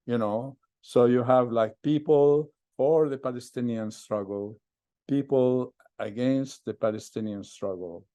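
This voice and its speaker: A man speaks on a video-call panel, slow to find his words and pausing often. His voice is warm and smooth, and it sits close and dry with no room sound. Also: medium pitch, no room sound. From Lebanon. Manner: hesitant, measured, many pauses. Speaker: professor, panelist.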